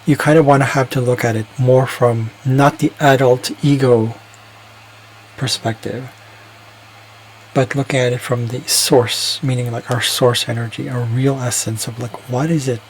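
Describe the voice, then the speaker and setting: A man's voice: mid-range voice, steady tone, a little slow, a little hiss or hum, low-quality recording. A man talking alone in a casual, reflective monologue, rambling and hesitant, often restarting his sentences. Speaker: vlogger.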